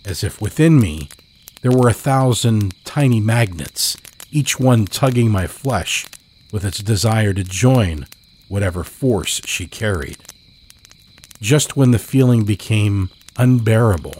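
Man playing a deep-voiced, grieving, haunted man. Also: lively intonation, a little mellow, slight background noise. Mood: haunted, uneasy. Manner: somber, measured. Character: grieving, haunted man recalling his dead loved one. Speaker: storyteller. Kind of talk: first-person horror story narration. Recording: cricket ambience behind voice. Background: crickets.

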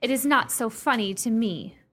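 Radio play: excitable society widow, bright and highly animated, genteel period diction. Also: female voice, moderate pace. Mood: prim, concerned. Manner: theatrical, genteel period speech. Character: wealthy, excitable widowed society lady, gracious then frantic.

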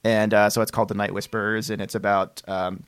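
Guy hosting a podcast, lowish voice, dry close sound, just chatting. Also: level tone, brisk, clear, resonant tone, dry recording. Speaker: podcast host. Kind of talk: casual interview chat.

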